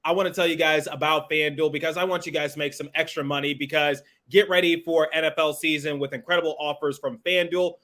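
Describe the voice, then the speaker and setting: A man's voice: a little high, fairly even intonation, fast talker, hardly any pauses, fairly bright tone. A man hosting a sports podcast, talking in a conversational style. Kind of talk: conversational interviewing.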